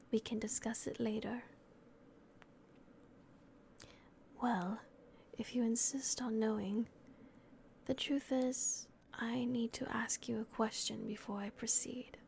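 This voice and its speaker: Woman voicing a caring female vampire guardian, close-mic ASMR whisper, gravelly edges, unhurried. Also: fairly high pitch, slight background noise. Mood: tender, caring. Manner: soft unvoiced whisper, hesitant. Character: gentle, protective female vampire caretaker.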